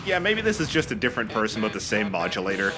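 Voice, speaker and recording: A man's voice: on the low side, sing-song, fast talker. A man giving casual, rambling and enthusiastic gaming commentary among friends. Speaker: let's play host. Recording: voice over loud game music. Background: game music and sound effects.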